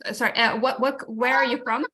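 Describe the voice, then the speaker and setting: Bright-voiced woman presenting a webinar. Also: a little high, fairly even intonation, fast. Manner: explanatory, with frequent restarts and fillers. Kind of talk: informational webinar talk.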